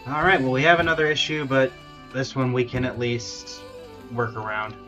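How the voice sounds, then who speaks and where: A man streaming a video game in a casual, conversational way, often half-muttering to himself. His voice is mid-pitched, with game music playing behind him. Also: flat delivery, normal speed, bright, forceful tone, highs cut off. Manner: muttering to himself while troubleshooting. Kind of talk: casual live stream commentary.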